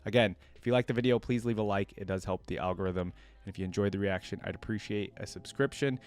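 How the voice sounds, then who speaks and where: A man's voice: fairly low pitch, quick pace, a little mellow. A man hosting a reaction video, talking casually and off the cuff to his viewers, rambling as he thinks aloud. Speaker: reaction video host, League of Legends fan. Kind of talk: casual unscripted commentary to viewers.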